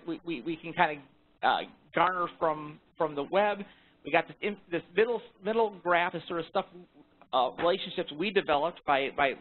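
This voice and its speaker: Higher-pitched man on a thin phone line. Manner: halting, repeats words and rephrases often. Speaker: research presenter. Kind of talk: informal technical presentation on a conference call. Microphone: phone conference call. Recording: thin, band-limited phone conference line.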